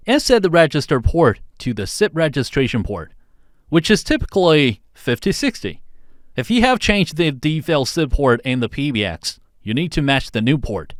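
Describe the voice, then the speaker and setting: A man's voice: mid-range voice, dry recording, clean studio sound. A man reading a scripted instructional narration as a tutorial narrator, guiding step by step.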